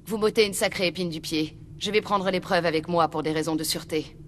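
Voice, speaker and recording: An actress playing a determined undercover internal affairs agent in a video game, businesslike and wry. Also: female voice, lowish voice, steady tone, a little fast, slight background noise, natural voice. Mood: wry, weary. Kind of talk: video game dub dialogue.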